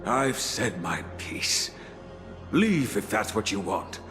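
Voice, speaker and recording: Stern old priest, echoing hall. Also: male voice, on the low side, very animated, takes it slowly, exaggerated voice. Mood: grave, resolute. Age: older. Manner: declamatory, indignant, formal. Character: stern, stubborn old priest clinging to his faith. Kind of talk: video game voice acting. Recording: long reverb, loud music behind.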